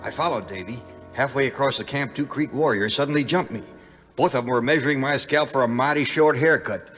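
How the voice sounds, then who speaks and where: A man's voice: medium pitch, fairly quick, lightly acted. A man playing a folksy frontier sidekick, telling his adventures with dry humor in a 1950s audio adventure. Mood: animated, wry. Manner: folksy, dryly humorous, animated. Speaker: narrator. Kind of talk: scripted first-person storytelling, 1950s audio adventure. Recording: 1950s record, music behind.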